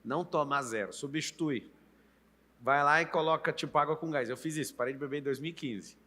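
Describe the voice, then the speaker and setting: A man's voice: a little high, fairly quick, sharp tone, big-room sound. A Brazilian man in his late thirties hosting an interview, talking in an emphatic, coaching way and often turning to address the viewer. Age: late 30s. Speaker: podcast host and coach. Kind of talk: motivational interview talk.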